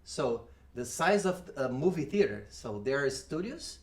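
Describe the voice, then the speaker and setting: Brazilian man with halting, restarting English. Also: medium pitch, very animated. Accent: Brazilian Portuguese. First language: Portuguese. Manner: halting non-native English with many restarts. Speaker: guest, audio engineer. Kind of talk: casual interview chat.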